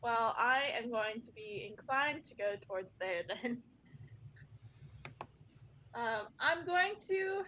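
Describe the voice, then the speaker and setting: A woman's voice: fairly high pitch, animated, slow, pauses often, pushed and bright, lo-fi sound, a little hiss or hum. A woman playing a tabletop game, chatting casually and thinking aloud about what her character will do.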